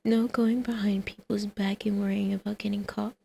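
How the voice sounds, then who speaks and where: A woman playing a teasing, flirtatious villainess in an intimate ASMR roleplay, with a creaky voice that often drops to a breathy whisper. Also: mid-range voice, little pitch movement, normal speed, warm tone. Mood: seductive persuasion.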